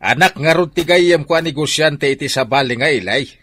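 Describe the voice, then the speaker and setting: A man's voice: a little high, brisk, a bit bright, slightly rough. An actor with an Ilocano accent playing a traditional, insistent middle-aged father and farm caretaker in a radio drama.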